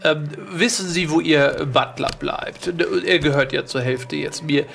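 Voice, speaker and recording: A man plays a courteous, inquisitive lord in an audio drama. The voice is gravelly with fry, slightly high, and formal, with soft music behind it. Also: a little slow. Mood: polite, curious. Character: polite, inquisitive gentleman lord.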